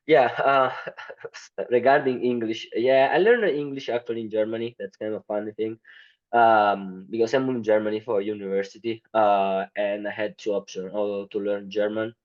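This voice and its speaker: Deep-voiced Italian man, halting English. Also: darkish tone, muffled recording. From Italy. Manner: hesitant, many fillers, non-native grammar. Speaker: guest. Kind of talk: casual interview answers.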